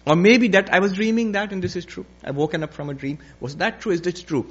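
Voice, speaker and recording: A man's voice: high-pitched, fast talker, fairly bright tone, breathy edge, highs cut off, pitched above the performer's own voice. Man voicing a bewildered, distraught philosopher emperor. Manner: repetitive, bewildered questioning. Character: distraught philosopher emperor, obsessively questioning what is real.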